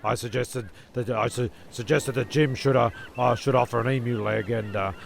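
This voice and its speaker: A man playing a defensive, rambling teller of tall tales in an audio comedy, stammering and swearing in a gravelly voice. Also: mid-range voice, steady tone, moderate pace, slight background noise. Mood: flustered, rambling. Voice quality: gravelly, creaky. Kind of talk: comedic audio drama storytelling. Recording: guitar music behind the voice.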